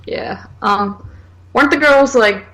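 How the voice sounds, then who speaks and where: A woman with noticeable vocal fry talks as a podcast co-host. She is informal and conversational, filling her casual film chat with "like". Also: a little high, takes it slowly, a bit bright, low-quality recording. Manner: informal, conversational, heavy use of "like".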